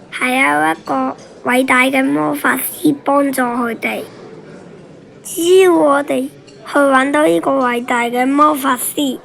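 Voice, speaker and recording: A woman's voice: high-pitched, creaky, heavily acted, rough recording. A woman playing a deferential royal minister in a children's radio play, reporting formally and respectfully.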